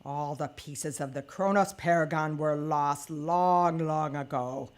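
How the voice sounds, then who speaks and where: A woman playing a weary, sardonic old woman in an audio drama, with a deliberate, dry and scornful manner. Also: deep voice. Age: older.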